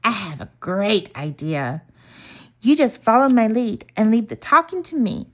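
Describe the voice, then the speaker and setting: Mischievous young male cub for a storybook, confident, teasing, very animated pitch played by a woman. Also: unhurried. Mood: scheming.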